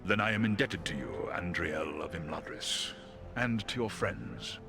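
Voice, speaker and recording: Deep, solemn great eagle. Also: male voice, very animated, vocal fry, music in the background, heavily acted. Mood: grave. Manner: formal, measured, solemn. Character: noble great eagle, grave and courteous ally. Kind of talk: fantasy game cutscene acting.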